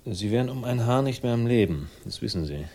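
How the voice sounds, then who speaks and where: A man's voice: mid-range voice, lively intonation, brisk, warm tone. An actor in a 1960s crime radio play, playing a wry, laconic man out to avenge his sister and narrating it himself. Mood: cold, accusing. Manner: dry, sardonic, laconic. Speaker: lead character and first-person narrator.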